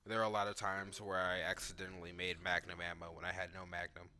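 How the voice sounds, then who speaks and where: Bright-voiced man doing casual game commentary. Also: low-pitched, level tone, fast, a little hiss or hum. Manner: informal, frequent "like", thinking aloud. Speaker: gaming video creator reacting to cutscenes and gameplay. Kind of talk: casual off-the-cuff gameplay commentary.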